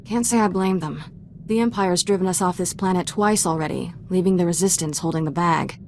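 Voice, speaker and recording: A woman's voice: on the low side, a little fast, darkish tone, slight background noise, close to an everyday voice. A woman playing a dry, sarcastic sidekick. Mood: wry. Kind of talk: video game voice acting. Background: music.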